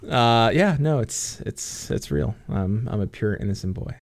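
Young man, podcast co-host, lively up-and-down pitch, giggly, swears a lot, dry close mic. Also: measured pace, creaky, no echo. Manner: giggly, swears freely, many fillers. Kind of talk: casual gaming podcast chat. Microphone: computer mic on voice call.

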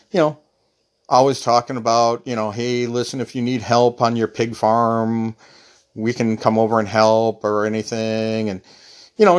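Guy rambling on his podcast, lowish voice, wry and joking at himself. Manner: rambling, wry, self-deprecating. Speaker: podcast host. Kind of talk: casual solo monologue.

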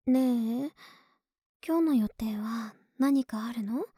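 A woman playing an affectionate, sleepy young neighbor in an intimate ASMR voice drama, speaking softly and tenderly, often in whispers, very close to the microphone. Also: a little high, dry recording. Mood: coaxing, playful. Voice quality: soft, breathy, often whispered. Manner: slow, tender, coaxing, drawn-out drowsy words. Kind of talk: Japanese ASMR situation voice drama, intimate and soothing. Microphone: very close ASMR microphone, dry sound.

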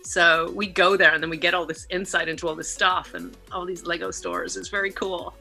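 A middle-aged woman from Canada, a guest chatting casually on a video call podcast in a lively, animated way. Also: fairly low pitch, a little fast, gravelly, faint music behind. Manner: lively, laughing, animated storyteller. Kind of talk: casual interview chat.

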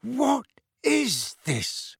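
A man's voice: fairly high pitch, lively intonation, moderate pace, husky, dry recording, clean studio sound, full character voice. A man voicing a blustering middle-aged uncle in an audiobook, easily deflated and prone to sputtering. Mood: bewildered, timid. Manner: roaring, sputtering, stammering when unsettled.

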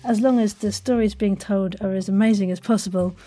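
A woman's voice: mid-range voice, steady tone, fairly quick, mellow. A woman hosting a podcast, chatting to guests and listeners in an effusive, playful way full of wordplay. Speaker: podcast host. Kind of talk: chatty interview and sign-off to listeners.

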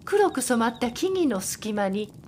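Woman narrator with a fairly high voice. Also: sing-song, a little slow, a little mellow, a little hiss or hum. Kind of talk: scripted radio drama narration.

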